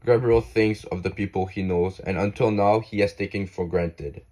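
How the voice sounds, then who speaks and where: Low-voiced man reading an essay. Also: fairly even intonation, dark tone, rough recording. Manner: halting reading with frequent restarts and fillers.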